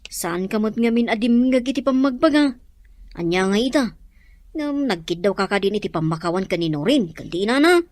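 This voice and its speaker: A woman's voice: medium pitch, animated, a little fast, darkish tone, natural voice. A woman with a Filipino accent playing a frank, reproving close friend in a radio drama.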